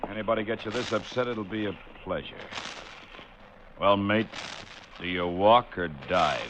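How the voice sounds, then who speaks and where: A man's voice: lowish voice, normal speed, natural voice. An actor playing a big, smug, threatening heavy protecting his woman in a 1940s radio drama. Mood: smug menace. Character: menacing tough male lover, big protective heavy. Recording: noisy 1940s radio recording.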